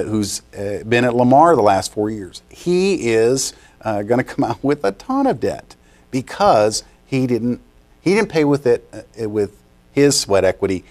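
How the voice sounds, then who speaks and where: A man's voice: mid-range voice, a little mellow. Chatty middle-aged male TV host. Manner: chatty, enthusiastic, promotional. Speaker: TV show host. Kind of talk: TV business interview. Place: TV studio set.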